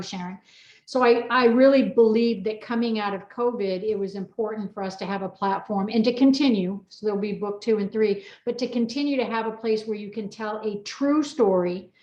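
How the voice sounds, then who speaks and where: A woman's voice: fairly high pitch, a little mellow, roomy sound, lo-fi sound. A middle-aged woman hosting a casual panel chat, talking at length in an emphatic way. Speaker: host and wellness coach.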